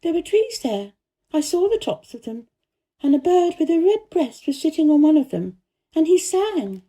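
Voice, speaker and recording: A woman's voice: high voice, big pitch swings, normal speed, dark tone, voice pushed up. A woman voicing a prim, curious, lonely little girl in a children's storybook, speaking in a direct way. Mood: insistent. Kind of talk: storybook character reading.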